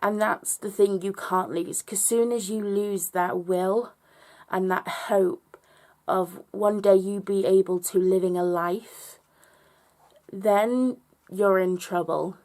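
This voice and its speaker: A woman vlogger with a slightly hoarse voice, talking earnestly and reflectively straight to her viewers. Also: medium pitch, fairly even intonation, slow pace, darkish tone. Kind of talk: personal vlog monologue.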